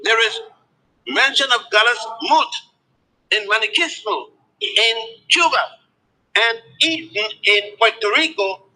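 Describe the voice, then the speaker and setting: A man giving a spoken lecture in a deliberate, emphatic way, letting his pitch fall at the ends of phrases. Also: pushed and bright, rough recording.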